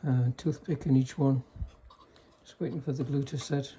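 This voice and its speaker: A man giving a casual, unscripted technical demonstration in a halting, low-key way, like a restorer explaining equipment. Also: fairly even intonation, dark tone, a little hiss or hum. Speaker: vintage radio restorer demonstrating a set.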